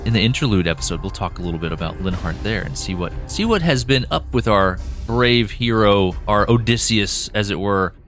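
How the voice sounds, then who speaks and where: A man's voice: lowish voice, expressive, a bit bright, soft background music. A man co-hosting a casual behind-the-scenes podcast chat, talking loosely and laughing often. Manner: chatty, laughs often, acts out quick voices for characters. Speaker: podcast co-host and show creator.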